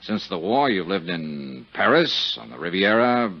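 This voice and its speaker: An actor playing a tough, wisecracking investigator in 1950s radio detective drama, blunt and sardonic, with a husky voice. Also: male voice, low-pitched, very animated, unhurried, full character voice. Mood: pressing, sardonic. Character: tough, brash, wisecracking American male investigator. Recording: dull 1950s radio broadcast recording.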